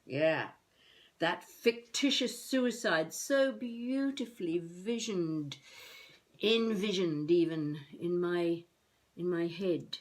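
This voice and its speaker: Elderly would-be novelist woman: unhurried, halting, wry, self-mocking video-diary monologue. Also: lowish voice. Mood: wry musing. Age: older. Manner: musing, halting, talking to herself.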